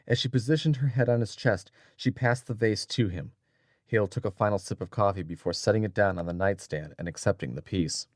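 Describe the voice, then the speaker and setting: Deep-voiced male audiobook narrator. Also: dry recording, studio quality. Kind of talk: scripted dramatic narration.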